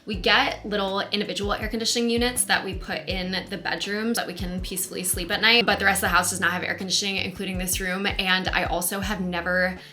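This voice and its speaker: Brief: young American woman, bright, chatty vlogger, candid asides. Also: mid-range voice, fairly even intonation, fast, few pauses. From United States. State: hoarse, losing her voice. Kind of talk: casual vlog chat. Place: rented house indoors.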